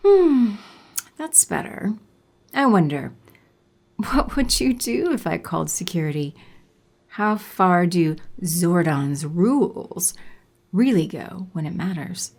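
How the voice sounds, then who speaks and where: A woman's voice: fairly low pitch, big pitch swings, slow, darkish tone, heavily acted. A woman playing a haughty, condescending villainous sorceress in an audio drama, with theatrical, menacing delivery. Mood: mocking.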